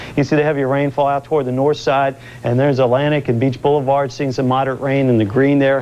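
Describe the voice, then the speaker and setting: A dull, lo-fi broadcast recording of an American man presenting the weather. His pitch is middling for a man. He talks viewers through conditions in an explanatory, advisory way, unscripted and live. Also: a little mellow. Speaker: TV weather forecaster. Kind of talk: live ad-libbed weather report. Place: TV studio.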